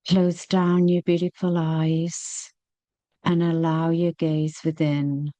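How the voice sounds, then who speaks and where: A woman leading a guided meditation, speaking gently and soothingly with long, unhurried pauses. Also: low-pitched, little pitch movement, dry recording.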